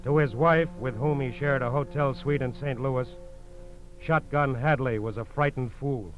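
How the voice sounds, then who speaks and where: A man's voice: medium pitch, unhurried, faint music behind, lightly acted. Male actor as grave old-time radio crime narrator. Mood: grave, urgent. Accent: American. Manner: clipped, dramatic, emphatic. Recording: dull old radio broadcast recording.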